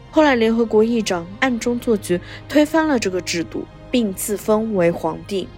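Woman, medium pitch, podcast narrator, scripted storytelling recap, light music underneath. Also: a little mellow.

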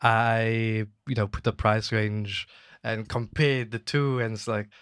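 A man from Africa, a guest and music teacher talking thoughtfully in a casual podcast chat, pausing often with "um" and "you know". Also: fairly low pitch, level tone, a bit bright, smooth, no echo, clean studio sound. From South Africa.

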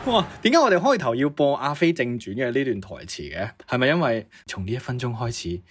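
A man's voice: mid-range voice, sing-song, fairly quick, breathy edge. A man with a Cantonese accent co-hosting casually, reacting with exclamations and curious questions. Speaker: co-host of a Cantonese pop history show.

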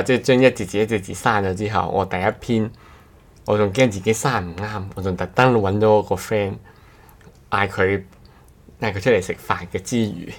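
A male co-host with a low voice and a Cantonese accent. He talks in a casual, anecdotal way, looping back to repeat and restart phrases. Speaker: podcast co-host.

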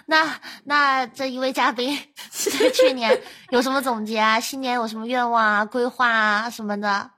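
A woman's voice: on the high side, normal speed, bright, forceful tone. A young adult woman hosting a casual podcast, telling things in a chatty, reflective way.

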